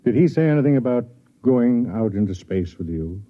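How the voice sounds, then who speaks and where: An actor playing a kindly, self-deprecating, quietly lovelorn man who also narrates, in a vintage radio drama. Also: medium pitch, lively intonation, normal speed, lightly acted. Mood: gentle, probing. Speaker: first-person narrator and lead. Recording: dull vintage radio recording.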